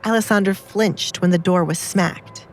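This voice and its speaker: Low-voiced woman narrating a story. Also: fairly even intonation, normal speed, loud music behind the voice. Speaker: audiobook narrator.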